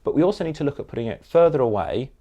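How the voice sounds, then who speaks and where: A man's voice: mid-range voice, sing-song, racing, dark tone, lo-fi sound. A man answering as a parliamentary candidate, leaning on key phrases for emphasis. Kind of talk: hustings answer, political speech.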